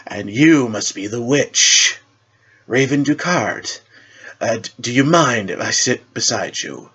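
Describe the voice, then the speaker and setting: A man's voice: medium pitch, expressive, slow pace, bright, forceful tone, slight background noise. A man voicing a mysterious masked hero, polite, hesitant and dry, in an amateur superhero story. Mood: courteous. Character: mysterious masked shadow hero, courteous, awkward, male. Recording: dull lo-fi recording.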